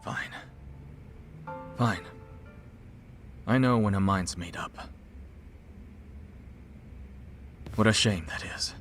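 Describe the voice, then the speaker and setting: A man's voice: on the low side, slow, a bit bright, soft background music. A man acting a polite, anxious middle-aged father and husband in a dubbed video game cutscene, formal and earnest in his speech. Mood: resigned. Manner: polite, formal, earnest, exclaims when upset.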